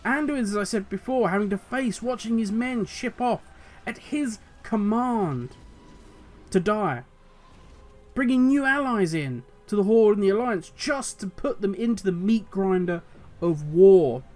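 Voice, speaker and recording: High-voiced man with a hoarse voice. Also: a little mellow. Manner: passionate, rambling, musing aloud. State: voice going hoarse. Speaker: video host. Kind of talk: solo commentary on game lore.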